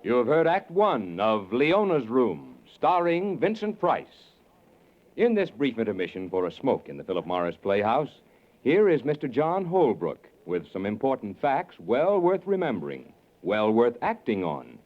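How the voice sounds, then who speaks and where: A man's voice: mid-range voice, huge pitch swings, measured pace. A man announcing a radio program and its advertising in a polished, emphatic 1940s style. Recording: dull 1940s radio recording.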